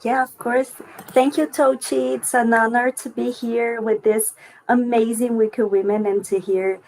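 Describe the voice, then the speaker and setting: A woman's voice: fairly high pitch, level tone, unhurried, gravelly, a little mellow, a little hiss or hum, rough recording. A woman from Brazil with a Brazilian accent, a native Portuguese speaker, answering as a guest on a video call panel. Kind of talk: conversational interview answers.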